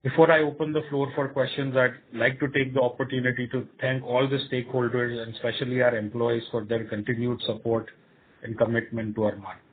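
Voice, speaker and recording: A man with an Indian accent speaking as a company executive on an earnings call, in a formal, measured manner. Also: mid-range voice, little pitch movement, breathy edge. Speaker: company executive presenting results. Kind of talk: earnings call presentation and answers. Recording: muffled, narrow phone conference line.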